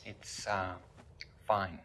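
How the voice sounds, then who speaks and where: An actor playing a polite, earnest young medical student with quiet defiance in a video game drama. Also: male voice, deep voice, level tone, very slow, a little hiss or hum, heavily acted. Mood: hesitant, troubled. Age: young adult.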